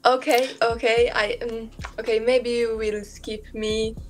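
Hesitant young Polish woman, slow. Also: a little high, level tone, soft background music. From Poland. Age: young adult. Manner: hesitant, searching for words. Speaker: video chat stranger. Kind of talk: casual video chat. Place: room at home lit purple, indoors.

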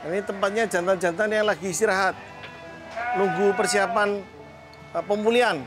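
A middle-aged man, walking through outdoor livestock barns and explaining his goat farm in a relaxed, matter-of-fact way. He speaks into a lapel mic. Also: high-pitched, moderate pace. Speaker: goat farm owner giving a tour. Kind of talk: casual explanatory tour talk. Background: background music.

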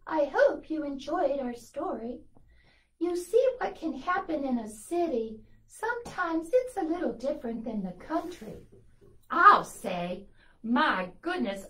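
Woman doing a timid girl mouse puppet, high swooping voice, slow chatty delivery, children's show. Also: big pitch swings, fairly bright tone, full character voice. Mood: relieved, affectionate. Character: gentle timid little female country mouse puppet. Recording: roomy, distant from the camera mic.

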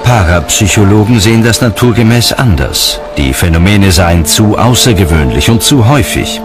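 Deep-voiced male documentary narrator over music. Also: lively intonation, moderate pace.

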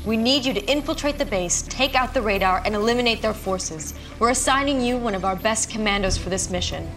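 A woman playing a serious, composed military intelligence officer, crisply delivering a scripted mission briefing in a war game. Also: a little high, brisk, music in the background, natural voice.

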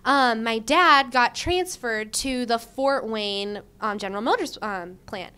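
Young woman job candidate, casual rambling answers, clear tone, handheld mic indoors. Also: on the high side, unhurried. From Michigan. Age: young adult. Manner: chatty, animated, lots of likes and ums, gestures with her hands. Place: indoor room before a banner. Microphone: handheld mic held by the interviewer.

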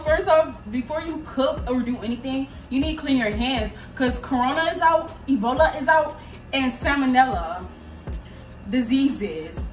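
A woman's voice: high-pitched, moderate pace, soft background music. A young American woman chatting casually and playfully in slang to viewers as she hosts a home cooking vlog. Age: young adult. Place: small home kitchen, indoors. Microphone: phone or camera propped at a distance. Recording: distant mic, noisy home kitchen ambience.

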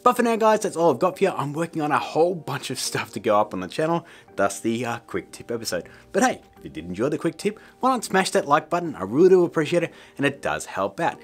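A man's voice: on the high side, sing-song, quick pace, soft background music. A man in his thirties presenting a tutorial in a chummy, informal, upbeat way, talking to viewers as guys. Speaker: tutorial host.

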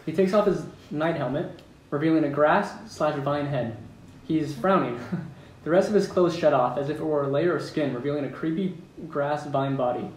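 A man with a slightly high voice reads out stage directions at an amateur table read, plainly and a little amused. He sits away from the mic in an echoey room, with faint noise behind him. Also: normal speed, a little mellow. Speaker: narrator reading stage directions. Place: room at home around a wooden table. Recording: roomy, distant mic with background noise.

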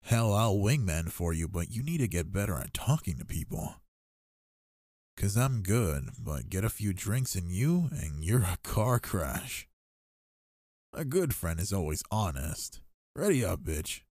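A man acts out a young gamer boyfriend in an ASMR roleplay, cocky yet affectionate. His voice is low and he takes his time, with slangy, teasing phrasing. The sound is close and dry. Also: big pitch swings, measured pace, a little mellow, dry recording, exaggerated voice.

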